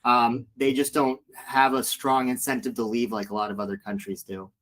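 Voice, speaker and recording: Man, educator on a panel, mid-pitched, frank and casual delivery. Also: flat delivery, normal speed, fairly bright tone. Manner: casual and frank, with many fillers. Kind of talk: panel discussion.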